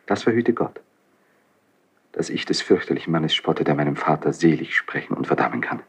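An actor playing an anguished, bitter young crown prince in a classical German radio drama, theatrical and impassioned, with a husky, breathy voice. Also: male voice, a bass voice, expressive, moderate pace, exaggerated voice. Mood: biting irony. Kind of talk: 1970s German radio play, classical stage acting.

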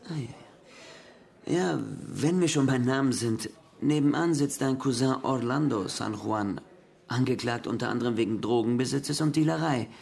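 An actor playing a police detective in a German radio crime drama, questioning methodically with a dry, needling confidence. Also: male voice, mid-range voice, normal speed, creaky, a little mellow, natural voice. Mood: pointed, sardonic. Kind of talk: German radio play acting, police interrogation.

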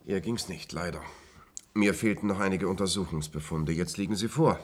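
An actor playing an authoritative institute doctor in a radio drama, precise, cool and matter-of-fact. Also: male voice, deep voice, fairly quick. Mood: cool, clinical. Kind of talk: German radio play acting.

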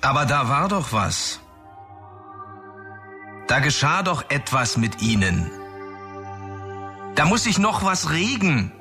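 An actor playing a probing, insistent man in a German radio drama, questioning slowly. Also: a little high, very animated, heavily acted. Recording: music behind the voice.

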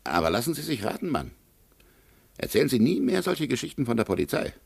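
An actor in a German radio play playing a haughty, evasive American consul with a condescending, officious manner. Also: male voice, medium pitch, sing-song, brisk, creaky, slightly rough, full character voice. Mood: patronizing.

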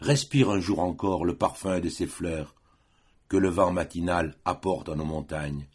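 A man's voice: low-pitched, measured pace, slightly rough. A man voicing a tender, solemn lover who consoles his beloved in declamatory poetic recitation. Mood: awed, foreboding.

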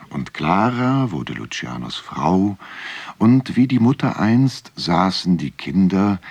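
Grave male storyteller, extremely deep, unhurried, dark legend narration, close dry sound. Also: vocal fry, slightly rough, dry recording, full character voice. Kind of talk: scripted narration of a dark legend, radio drama.

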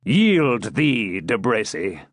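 A man voicing a stern, commanding champion knight in an audiobook, authoritative and deliberate. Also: fairly low pitch, very animated, no echo, clean studio sound, exaggerated voice. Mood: menacing demand.

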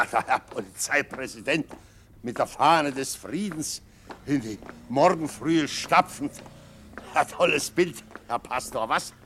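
An actor playing a jovial, portly medical official in a radio drama, pompous, amused and sarcastic. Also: male voice, medium pitch, takes it slowly, sharp tone, slight background noise. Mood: mocking, amused. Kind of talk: German radio drama acting.

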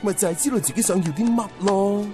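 An actor playing a smug, blunt suitor who lectures pompously in Hong Kong Cantonese in a radio drama. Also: male voice, sits high, fast talker, music in the background, natural voice. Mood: smug, preachy. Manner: pompous, preachy.

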